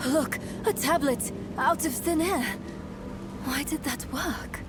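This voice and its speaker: A young woman playing an earnest, troubled traveler in a dramatic video game, soft-spoken and hesitant. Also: sits high, takes it slowly, noisy background. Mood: puzzled, thoughtful. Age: young adult. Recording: loud background music.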